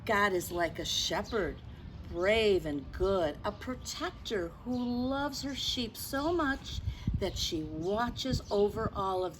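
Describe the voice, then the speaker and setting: Slow-paced woman telling stories to children. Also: medium pitch, background noise. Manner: deliberate, expressive, addressing young children directly. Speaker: children's storyteller and religious educator. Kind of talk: children's story time, read-aloud and simple faith talk.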